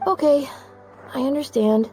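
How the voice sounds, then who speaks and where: A woman's voice: high-pitched, unhurried, mellow, soft background music. A woman voicing a timid, sweet little child in a video game. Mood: meek, grateful. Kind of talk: scripted video game acting.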